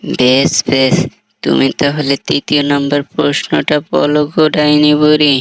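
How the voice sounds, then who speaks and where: A man's voice: on the high side, monotone, a little slow, creaky, no echo, low-quality recording. A man voicing a humble village man in a cartoon, with a pleading, folksy way of talking. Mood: eager.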